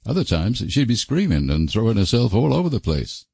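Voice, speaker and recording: Guy doing a solemn old-fashioned country fella from a storybook, folksy, dour, pitch jumps around. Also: very animated, a little fast, vocal fry, mellow, slightly rough, dry recording, studio quality, exaggerated voice. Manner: folksy, dour rustic talk. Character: solemn, cryptic, plain-spoken country man. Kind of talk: audiobook character voice.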